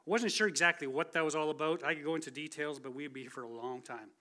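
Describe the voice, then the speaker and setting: Guy in his 40s preaching, kinda high bright voice, chatty and self-deprecating. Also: fast. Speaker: guest speaker. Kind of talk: conversational sermon testimony. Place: church sanctuary.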